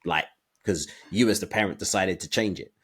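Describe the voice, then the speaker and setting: A man's voice: low voice, normal speed, fairly bright tone, breathy edge. A man co-hosting a casual podcast discussion, thinking aloud hesitantly in broken phrases. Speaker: podcast co-host.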